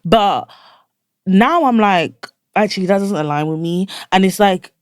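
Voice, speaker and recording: A woman's voice: medium pitch, measured pace, smooth, no echo, clean studio sound. A young woman in her twenties, co-hosting a podcast in casual chat. She talks bluntly and animatedly and fills her speech with "like". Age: 20s. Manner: frank, blunt and animated, says "like" a lot. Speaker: podcast co-host.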